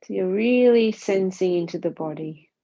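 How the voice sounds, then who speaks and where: A woman guides a body scan meditation, speaking calmly and gently in short, spaced phrases. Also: medium pitch, moderate pace. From Singapore. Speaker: mindfulness meditation teacher. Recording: dull, muffled lo-fi sound.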